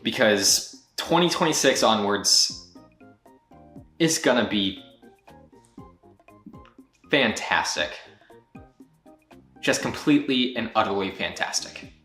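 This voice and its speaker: A man's voice: on the low side, lively intonation, slow pace, long pauses, pushed and bright, faint music behind. A man who founded a studio gives a firm, earnest year-end recap, speaking directly to fans. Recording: roomy echo.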